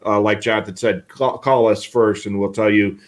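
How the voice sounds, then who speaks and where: A man's voice: on the low side, steady tone, normal speed. A man co-hosting a live hobby show, explaining products in a casual, conversational way. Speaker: co-host of a live radio scanner show.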